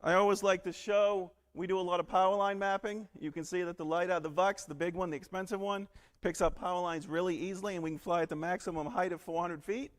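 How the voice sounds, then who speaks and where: A man from northern Alabama giving a quick, joking technical talk at a conference. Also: sits high, steady tone. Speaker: conference presenter. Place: large conference hall. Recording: long hall reverb.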